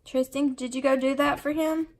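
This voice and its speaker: A woman with a gravelly, creaky voice and a stuffy, sniffly nose chats casually while she works on her planner. She rambles and fills her talk with ums and likes. She sounds like she is at home. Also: sits high, fairly even intonation, normal speed. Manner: rambling and chatty, full of fillers. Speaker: planner vlogger and mom.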